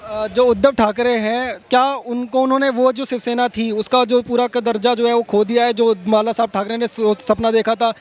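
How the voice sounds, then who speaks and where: A man's voice: extremely high pitch, flat delivery, moderate pace, few pauses. A man working as an interviewer, putting wordy, conversational news questions and rephrasing as he goes.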